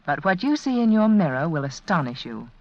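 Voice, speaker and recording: A woman gives a persuasive 1940s radio beauty pitch. Her voice is on the low side with very lively intonation. The old recording is somewhat dull. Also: brisk. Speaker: beauty product spokeswoman.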